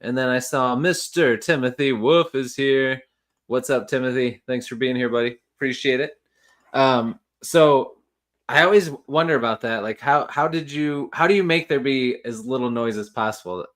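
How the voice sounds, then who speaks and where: Male stream host, mid-pitched, relaxed and encouraging interviewer. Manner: laid-back, encouraging, quick laughs. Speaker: host of a book live stream. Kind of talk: casual live-stream interview.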